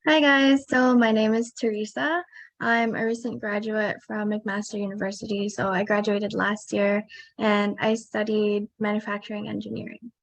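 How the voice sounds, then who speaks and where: A young woman speaks in a casual, friendly way. Her voice is fairly flat in pitch, and the sound is dry and close. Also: normal speed, creaky, no echo. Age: young adult. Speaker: recent engineering graduate, panelist. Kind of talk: casual self-introduction.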